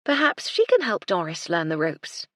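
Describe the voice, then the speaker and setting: A woman's voice: fairly low pitch, huge pitch swings, normal speed, a bit bright, no room sound, clean studio sound. A narrator voicing a woman housekeeper who speaks with firm, emphatic reassurance. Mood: reassuring.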